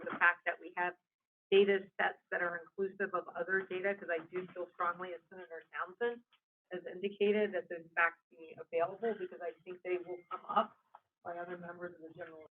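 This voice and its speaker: A meeting chair presiding in a brisk, firm manner, calling the roll and moving the agenda. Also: mid-range voice. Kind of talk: presiding over a public meeting. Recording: tinny phone-like meeting audio with faint hiss.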